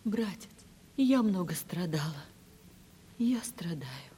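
Breathy, plaintive young woman. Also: lowish voice, sing-song, slow pace, full character voice. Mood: anguished pleading. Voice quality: breathy, husky. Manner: heartfelt, plaintive, hushed. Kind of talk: 1970s Soviet radio play acting. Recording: old radio play with long reverb.

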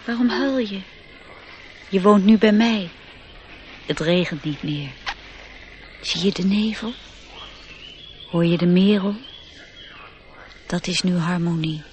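A performer acting an airy nature sprite in a radio play, with a middle-pitched voice and a knowing, lecturing manner. The sprite looks down on humankind and explains things with assurance. A faint noise sits in the recording. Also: takes it slowly, pauses often, a little mellow, natural voice. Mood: tutoring, assured.

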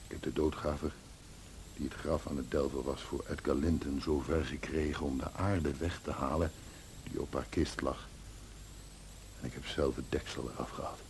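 An actor playing a brooding, obsessive middle-aged landlord in a radio drama, harsh and haunted, on a hissy old recording. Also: male voice, a bass voice, steady tone, normal speed, a little mellow. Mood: haunted, intense.

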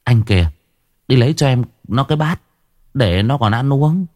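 A man doing a brisk, coaxing housewife. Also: medium pitch, expressive, darkish tone, no room sound, clean studio sound, pitched above the performer's own voice, lightly acted. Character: brisk practical wife calming a crying relative.

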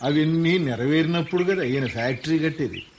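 A man's voice: a little high, a little fast, natural voice. A man playing an earnest reflective landowner. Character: Raghava, thoughtful middle-aged man fond of green land. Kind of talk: radio play acting. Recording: dull lo-fi radio recording with faint hiss.

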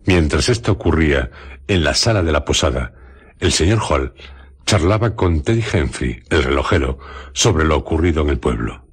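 A man narrating a radio drama in a scripted, measured storytelling style. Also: very deep voice, animated, slightly rough, full character voice. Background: music.

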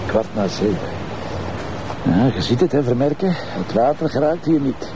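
A man's voice: mid-range voice, expressive, moderate pace, background noise, close to an everyday voice. A man playing a brash, bantering Flemish police commissioner in a naturalistic television crime drama. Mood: probing, wry. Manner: colloquial, bantering, needling. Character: brash, teasing, beer-loving Flemish male police commissioner.

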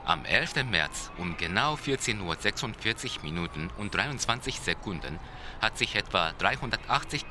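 An actor playing a Japanese seismologist, explaining in a precise, didactic, formal way in a radio-play interview. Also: male voice, low-pitched, bright, forceful tone, a little hiss or hum, heavily acted. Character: male Japanese seismologist, precise, solemn expert being interviewed.

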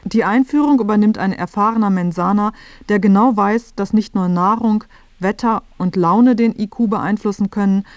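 A woman's voice: medium pitch, normal speed, a little mellow, no echo, highs cut off. Woman narrating in a dry studio. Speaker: radio feature narrator. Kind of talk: scripted narration.

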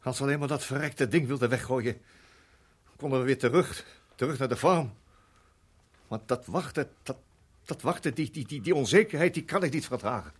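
An actor in a radio play playing a weary, anguished husband who speaks earnestly and haltingly, repeating his words. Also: mid-range voice, breathy edge, natural voice. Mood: strained, desperate.

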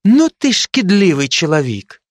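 A man's voice: high-pitched, big pitch swings, measured pace, no echo, clean studio sound, voice pushed up, exaggerated voice. A man voicing a young Ukrainian soldier who slips Ukrainian words into his Russian and bristles at teasing. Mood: indignant. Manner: boastful, indignant.

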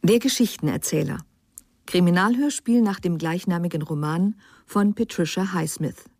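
Low, mellow, husky woman announcer. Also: moderate pace, no echo, clean studio sound. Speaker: radio drama announcer. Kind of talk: scripted credits announcement.

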